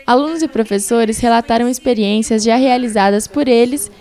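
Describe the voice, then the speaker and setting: A woman reading a scripted news voice-over in an even, formal way. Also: on the high side, brisk. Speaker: news reporter.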